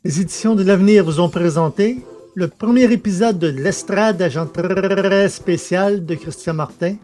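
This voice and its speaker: A man's voice: high-pitched, moderate pace, warm tone, faint music behind. A man presenting and interviewing on a podcast in a scripted announcing style.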